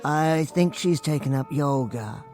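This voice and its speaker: A man's voice: on the high side, a little slow, a little mellow, faint music behind, natural voice. Actor as a meek, stammering bookish man. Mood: flustered, pleading. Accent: American. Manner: hesitant, stammering, apologetic. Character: meek, bookish, henpecked bank teller and husband. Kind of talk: radio drama acting.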